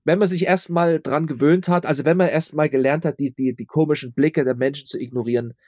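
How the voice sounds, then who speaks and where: A man's voice: fairly high pitch, fairly even intonation, a little fast, darkish tone, no room sound, clean studio sound. A man chatting casually as an interview guest, stammering over repeated words and tossing in ironic asides. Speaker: interview guest, audio drama producer.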